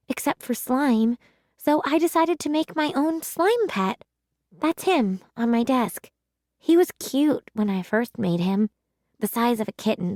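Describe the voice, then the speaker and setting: A woman's voice: a little high, a little mellow, no echo, studio quality. A woman voicing an earnest, defensive young schoolgirl in a children's storybook, plain-spoken and pleading. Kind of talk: children's storybook read-aloud.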